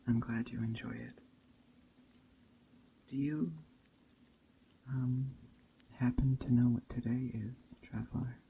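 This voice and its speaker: A man performs a gentle witch in a hushed ASMR roleplay. His voice is dark and creaky, and he speaks very slowly and deliberately, drifting into whispers. Faint nature ambience sits behind him. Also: mid-range voice, level tone. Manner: hushed, slow, deliberate, often slipping into whisper. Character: gentle pagan witch teaching a traveler about a holiday. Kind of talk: ASMR roleplay, soft-spoken character monologue. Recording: dull muffled audio, faint ambient noise. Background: soft nature ambience of rain and forest.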